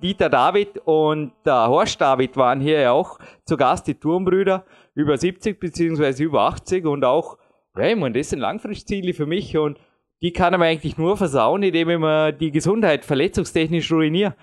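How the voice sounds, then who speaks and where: A man's voice: on the high side, normal speed. A man in his late thirties talking at length in a casual chat, rambling and self-deprecating with frequent chuckles. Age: 30s. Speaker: podcast host and competitive climber.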